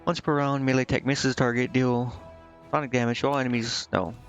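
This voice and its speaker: A man streaming a video game, casually thinking out loud and reading menu text to himself, with some offhand swearing. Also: mid-range voice, level tone, a little fast, highs cut off, faint music behind. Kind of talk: casual live gameplay commentary, muttering and reading menu text aloud.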